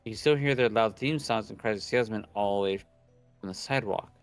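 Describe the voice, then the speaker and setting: A man's voice: lowish voice, brisk, clear, resonant tone. A young man casually reading visual novel narration aloud and chatting, stumbling over words now and then. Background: soft background game music.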